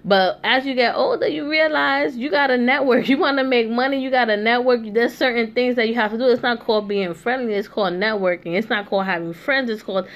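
A woman's voice: on the high side, brisk, hardly any pauses, lo-fi sound. A young woman hosting a casual chat, talking loosely with many asides and fillers. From New York. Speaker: host.

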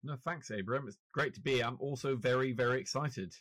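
A man with a British accent, a journalist guest in an interview, talking in a relaxed, wry, self-deprecating way. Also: mid-range voice, brisk, no echo. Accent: British English. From Norway. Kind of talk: casual interview chat.

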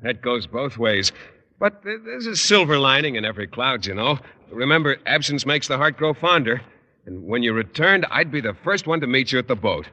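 An actor playing a bashful, earnest American biology teacher who talks hesitantly and stammers, in 1950s radio sitcom style. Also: male voice, mid-range voice, sing-song, normal speed, sharp tone, in a large hall, muffled recording, heavily acted.

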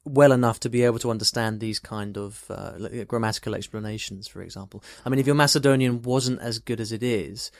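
A man's voice: on the low side, a little fast, vocal fry, few pauses, no echo, clean studio sound. A man hosting a podcast interview, recapping a listener question and asking friendly follow-ups. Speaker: podcast host.

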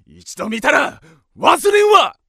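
A man's voice: very high-pitched, very animated, slow, vocal fry, sharp tone, dry recording, shouting, clean studio sound, soft background music, heavily acted. A man acting in a radio drama as a pompous, blustering, cowardly knight who bellows and stammers. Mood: blustering, indignant.

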